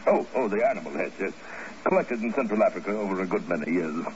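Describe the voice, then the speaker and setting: An actor playing a sly, brooding general who talks in a deliberate, lulling way in a 1950s radio drama. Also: male voice, sits low, expressive, slight background noise. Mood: brusque, weary. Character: brooding male general, trophy hunter, sly lulling hypnotist. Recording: dull old radio recording, music behind.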